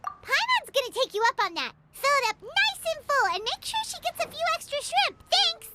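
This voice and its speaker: A woman's voice: very high-pitched, sing-song, normal speed, sharp tone, full character voice. A woman voicing a tiny, chirpy, nosy female fairy companion in a video game, who talks about herself in the third person. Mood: excited. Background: game music.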